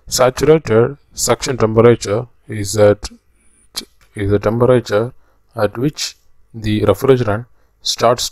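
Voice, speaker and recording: A man narrating a slide lecture as a technical instructor, explaining step by step in halting phrases. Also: medium pitch, darkish tone, no room sound.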